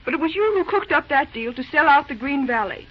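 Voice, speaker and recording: A woman's voice: sits high, expressive, fairly quick. A woman playing a frank, principled young daughter in an old-time radio drama, speaking articulately and with indignation. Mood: frank, scolding. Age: young adult. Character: outspoken, principled young daughter of wealthy family. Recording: dull old radio recording.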